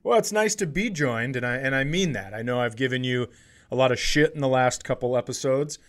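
A man's voice: medium pitch, a little fast. Profane, bantering American man on a podcast. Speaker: podcast co-host and comedian. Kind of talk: casual comedic podcast chat.

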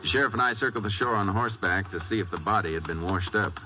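An actor playing a calm, shrewd Texas Ranger with an American accent and laconic manner in a 1950s radio western. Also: male voice, fairly low pitch, fast, over music, lightly acted. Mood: measured narrating. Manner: laconic, understated. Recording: noisy, dull old radio recording.

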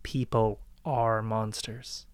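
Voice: medium pitch, flat delivery, takes it slowly, no echo, natural voice. Someone playing a menacing, vengeful ex-boyfriend, close and dry. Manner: accusing, quietly menacing. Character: vengeful, obsessive ex-boyfriend Josh, menacing young man. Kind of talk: dramatic reading of a dark story.

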